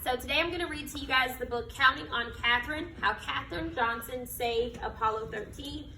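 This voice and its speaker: An American woman reading a picture book aloud to children like a teacher, with expressive, emphatic delivery and questions to her listeners. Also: high voice, fairly quick. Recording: echoey room, distant mic, background noise.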